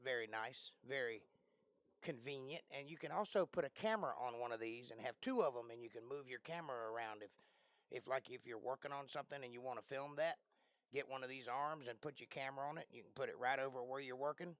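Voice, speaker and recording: Raspy, gravelly-voiced man chatting on a livestream. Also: mid-range voice, quick pace, fairly bright tone, no echo. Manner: clears throat often, rambling and chatty. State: losing his voice. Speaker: livestream host. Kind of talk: casual live-stream chat. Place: small bedroom. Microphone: close desk microphone.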